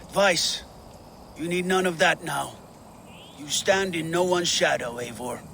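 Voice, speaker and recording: An actor playing a proud, blunt and brooding Viking warrior prince in a video game. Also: male voice, fairly high pitch, unhurried, gravelly, bright, forceful tone, a little hiss or hum, heavily acted. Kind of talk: video game cutscene acting.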